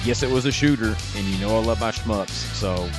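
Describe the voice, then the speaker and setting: A man's voice: lowish voice, fairly quick. A man from Texas with a Texan accent, talking casually and enthusiastically in a rambling vlog style as a retro game collector. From Texas, United States. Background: music.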